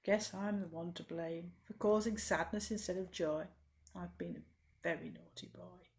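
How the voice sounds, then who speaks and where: A woman voicing a sulky male butterfly bully for a children's storybook, in a low grumbling way. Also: steady tone, moderate pace, natural voice. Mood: ashamed. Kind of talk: children's storybook read-aloud.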